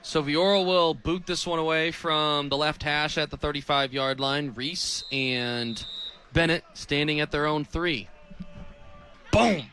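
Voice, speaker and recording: Bright-voiced young American football play-by-play man. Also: fairly high pitch, measured pace. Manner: crisp, clipped phrases that build to excited calls on big plays. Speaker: play-by-play radio sports announcer. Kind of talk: live American football commentary.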